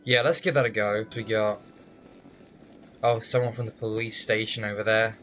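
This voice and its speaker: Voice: medium pitch, little pitch movement, normal speed, fairly bright tone. A gaming video host giving casual, reactive commentary while playing. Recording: dull lo-fi sound over game music.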